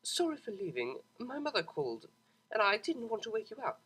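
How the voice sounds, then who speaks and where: A man voicing a refined, serene young female pony cellist, speaking gently and with composure in an audiobook. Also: sits high, huge pitch swings, a little fast, slightly rough, pitched above the performer's own voice, full character voice, poor audio. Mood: gentle, apologetic.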